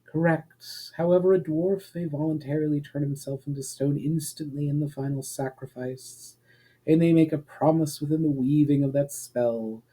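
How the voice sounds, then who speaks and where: Brief: male narrator plays elven woman, smug and testing, grave measured delivery, soft-edged mellow tone, fantasy audiobook. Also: on the high side, steady tone, slightly rough, poor audio. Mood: grave, explaining. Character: composed elf woman, knowing, testing, faintly smug host.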